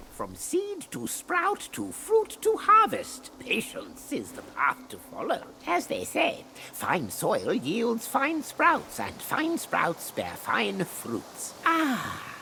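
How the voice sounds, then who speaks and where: A woman's voice: medium pitch, vocal fry, sharp tone, a little hiss or hum, exaggerated voice. A woman voicing a kindly, chuckling old zodiac deity in a fantasy game, theatrical and fond of proverbs. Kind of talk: fantasy video game acting.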